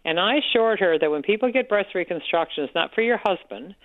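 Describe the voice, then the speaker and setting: A woman with a Newfoundland accent calling in to a radio show, loud-voiced and frank, talking on and on. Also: mid-range voice, expressive, brisk, no room sound. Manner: frank, talkative storyteller. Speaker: caller, support group organizer. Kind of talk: radio call-in chat. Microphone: telephone. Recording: tinny phone line.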